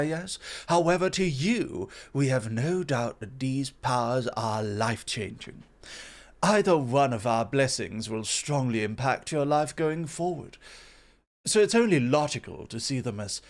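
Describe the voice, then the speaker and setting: A man voicing a calm, reasoning male god in an ASMR roleplay, measured and explanatory with gentle amusement. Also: medium pitch. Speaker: guest voice actor.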